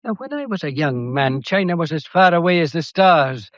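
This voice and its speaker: An actor playing a methodical, courteous but probing police inspector in a detective drama, with a deliberate, pedantic and wryly theatrical way of talking. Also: male voice, a little high, lively intonation, no echo, lo-fi sound, natural voice. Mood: pompous, expansive. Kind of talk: detective radio drama acting.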